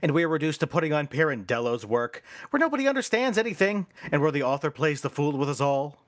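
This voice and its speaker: A pompous theater manager in a radio drama, exasperated and bossy. His fairly high voice swings widely as he blusters and lectures, in a dry, close recording. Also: big pitch swings, quick pace, dry recording. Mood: exasperated, lecturing. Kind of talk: theatrical radio play acting.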